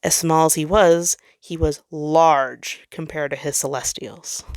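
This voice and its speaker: Casting: female narrator for dramatic fan fiction, slightly low register, dry unechoed sound. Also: fairly even intonation, a little slow, a little mellow, no room sound.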